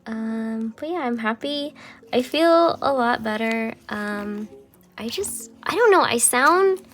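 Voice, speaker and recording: A young woman chatting casually while she journals, rambling with many fillers and sometimes dropping into a soft half-whisper, her voice still a little phlegmy from a cold. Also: fairly high pitch, animated, slow, faint music behind. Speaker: journaling vlogger.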